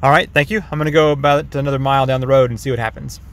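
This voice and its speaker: American guy in his 30s, casual hands on gear review, normal mid pitch voice. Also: fairly even intonation, racing. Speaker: product reviewer.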